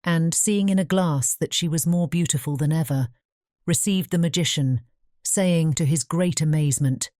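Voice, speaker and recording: A woman's voice: low-pitched, normal speed, warm tone, no echo, studio quality. A woman narrating a fairy-tale audiobook in a scripted storybook style.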